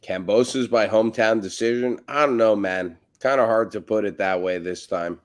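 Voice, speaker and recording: Deep-voiced guy tossing out dry jokes during a fight stream. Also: fairly even intonation, moderate pace. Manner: dry, wisecracking. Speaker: co-host. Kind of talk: casual sports chat.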